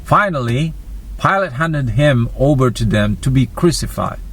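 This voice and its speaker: A man narrating a dramatized Bible reading, deliberate and phrase by phrase. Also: medium pitch, animated, a bit bright, husky. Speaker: scripture narrator.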